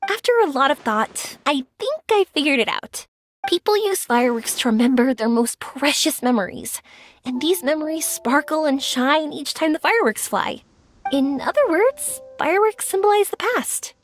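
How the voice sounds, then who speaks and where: A woman playing a cheerful, earnest young dreamer in an anime video game, with a sincere, expressive manner. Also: sits high, moderate pace. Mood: earnest, reflective. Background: music.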